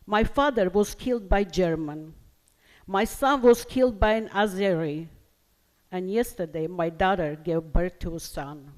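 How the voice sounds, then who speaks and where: An older Armenian woman with an Armenian accent, reading her own poems at a literature festival in slow, measured phrases. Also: fairly low pitch, a little mellow. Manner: slow, measured recitation with hesitant asides. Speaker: guest poet. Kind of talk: poetry reading. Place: festival hall. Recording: long hall reverb.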